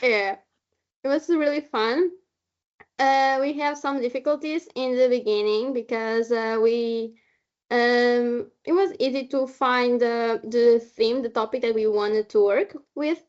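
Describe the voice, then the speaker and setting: High-voiced non-native English woman, halting. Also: steady tone, a little mellow. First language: not English. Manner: halting, searching for words. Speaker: short film maker introducing the film. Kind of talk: informal spoken presentation at online event. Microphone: video call. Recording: dull, lo-fi online call audio.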